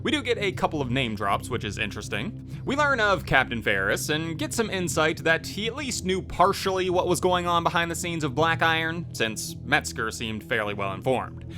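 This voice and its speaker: A man narrates a scripted video review. His voice is bright and a little high, with animated intonation, recorded close over faint music. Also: moderate pace, hardly any pauses. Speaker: host, game reviewer.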